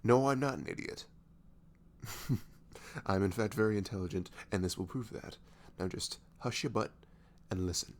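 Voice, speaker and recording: Man acting goofy, mock-formal best friend, low creaky voice. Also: lightly acted. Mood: mock-formal, teasing. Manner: halting, mock-professional, deliberate. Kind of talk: ASMR roleplay.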